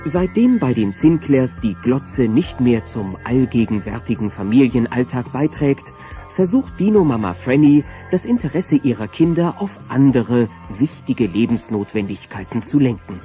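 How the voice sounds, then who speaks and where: A man's voice: mid-range voice, lively intonation, normal speed, dark tone. A man narrating a scripted audio play as a storyteller. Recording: dull cassette with faint music.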